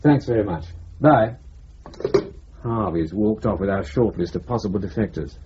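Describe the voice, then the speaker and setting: An actor playing a brisk, wry, exasperated intelligence officer in a radio drama. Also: male voice, fairly low pitch, expressive, lightly acted. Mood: brisk, irritated. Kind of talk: broadcast radio drama acting. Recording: muffled radio recording, faint hiss.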